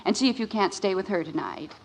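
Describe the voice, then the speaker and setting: A woman's voice: medium pitch, expressive, quick pace, vocal fry, rough recording. An actress playing a practical, concerned suburban wife and mother in a 1950s American radio sitcom, coaxing and persistent. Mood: practical, insistent. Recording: vintage 1950s radio recording.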